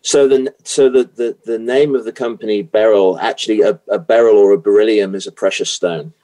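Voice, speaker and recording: A middle-aged British man talks through his answers with a fairly low voice. He hesitates often, filling gaps with ums and repeating words as he builds his sentences. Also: fairly even intonation, a little fast, warm tone, low-quality recording. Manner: hesitant, many fillers and restarts. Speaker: guest, automotive consultant. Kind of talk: interview answers.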